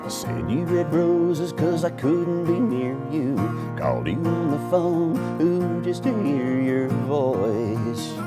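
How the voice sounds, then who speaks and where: A man singing a slow country love song in long, drawn-out lines, backed by guitar. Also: a little high, lively intonation. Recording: sung over loud guitar music.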